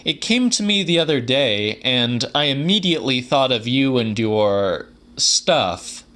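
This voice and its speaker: A man's voice: mid-range voice, animated, a little slow, fairly bright tone, voice pushed up. A man voicing an eager, insistent male acquaintance in dramatic audiobook dialogue.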